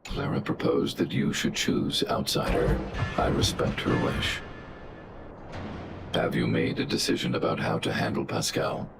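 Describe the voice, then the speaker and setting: A massive, level-headed robot guardian speaks in a rumbling, extremely deep voice full of gravel. Every word comes out precise and mechanical, flat and formal. Loud game music plays behind him. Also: male voice, unhurried, full character voice. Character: huge calm robot overseer, male. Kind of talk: game cutscene voice acting. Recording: studio dub with music underneath.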